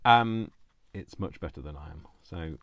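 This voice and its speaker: A man with a deep voice chatting casually as he hosts a craft video, playful and self-deprecating, rambling off into asides. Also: animated, normal speed, slight background noise.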